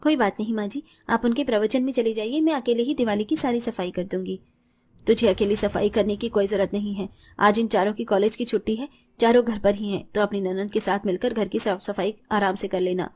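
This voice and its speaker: A woman's voice: mid-range voice, fairly even intonation, a little fast, darkish tone, lightly acted. A woman playing caring, dutiful women of a household, mother-in-law and daughter-in-law, in a cartoon story with an earnest manner. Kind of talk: Hindi cartoon story dialogue.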